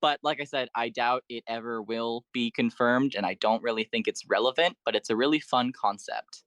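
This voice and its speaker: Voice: mid-range voice, normal speed, fairly bright tone, no echo. A podcast guest talking through fan theories in an enthusiastic, explanatory way and in detail. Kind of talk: enthusiastic fan lore discussion.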